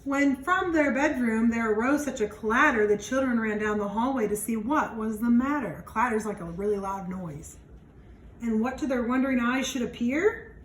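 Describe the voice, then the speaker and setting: A woman with a fairly high voice reads a picture book to children, adding simple explanations as she goes. She sounds slightly away from the mic in a roomy, echoey space. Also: normal speed. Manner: simple explanatory asides to young listeners. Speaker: teacher reading aloud to children. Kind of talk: children's storybook read-aloud with explanations. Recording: roomy, distant mic, faint background noise.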